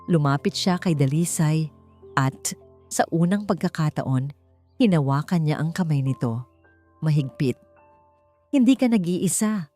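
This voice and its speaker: A Filipino woman narrates a dramatic audiobook story in a fairly low-pitched voice. She reads from a script in storytelling style, with music behind her. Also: darkish tone.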